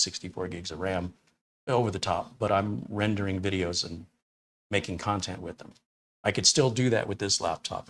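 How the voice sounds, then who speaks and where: A man's voice: deep voice, a bit bright. A man in his fifties or sixties, from Detroit, hosting a casual live stream as a tech reviewer, rambling on and answering viewer questions with blunt opinions. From Detroit, USA. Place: small home studio room.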